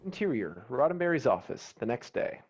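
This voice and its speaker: A man's voice: medium pitch, big pitch swings, brisk. A man reading stage directions and scene headings as the narrator of a screenplay. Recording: dull, slightly muffled online call audio.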